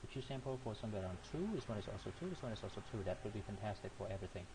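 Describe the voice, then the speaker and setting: Guy doing a software tutorial, lowish voice, lots of ums, hiss in the background. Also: animated, rapid-fire. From Cambodia. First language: not English. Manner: hesitant, thinking aloud with frequent fillers and restarts. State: coughing now and then. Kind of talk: screen-recorded software tutorial.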